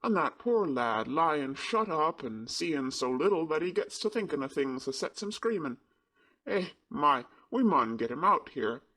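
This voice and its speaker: A man's voice: a little high, big pitch swings, a little slow, heavily acted. A man doing a broad Yorkshire country lad. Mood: eager. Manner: broad dialect, eager and warm. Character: cheerful Yorkshire country boy.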